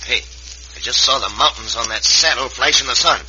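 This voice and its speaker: A man playing a rough, wary outlaw henchman with an American Western accent in an old radio western recording. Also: mid-range voice, fairly quick, bright, forceful tone, lo-fi sound, slight background noise. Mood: watchful.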